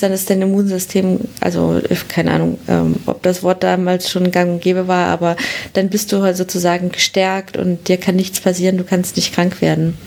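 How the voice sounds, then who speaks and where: A woman, co-hosting a science podcast as a biologist. Her voice has a gravelly fry to it, and she talks in a rambling, filler-heavy way with dry humor. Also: lowish voice, a little mellow. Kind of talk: casual science chat.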